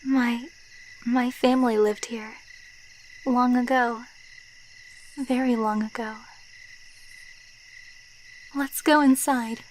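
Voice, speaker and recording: A woman playing a gentle, wistful vampire girlfriend in an ASMR roleplay, speaking softly and tenderly. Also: on the high side, fairly even intonation, a little hiss or hum. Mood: wistful, nostalgic. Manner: soft, slow, tender, wistful delivery.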